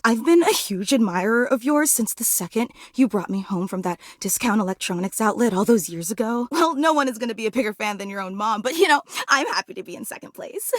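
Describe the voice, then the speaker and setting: A woman's voice: fairly high pitch, no room sound, studio quality. A woman voicing a nervous, starstruck female electric fan in a video game, gushing and rambling in a flustered, dramatic way. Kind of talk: animated video game character voice acting.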